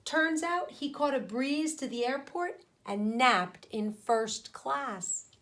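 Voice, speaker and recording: A woman teacher reads aloud for young pupils in a fairly high voice. Her pace is markedly slow and deliberate, with pauses between phrases. She explains and poses questions as she goes. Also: a bit bright, slight background noise. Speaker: primary school teacher. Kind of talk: read-aloud of a picture book for children with teaching commentary.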